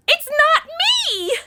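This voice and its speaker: A high, bright voice that swings all over the place as a woman acts out a flighty young American ex-cheerleader. She is self-absorbed and melodramatic, talking in modern slang in an audio comedy. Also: full character voice. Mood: indignant. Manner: dramatic modern slangy delivery. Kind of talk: comedy audio drama acting.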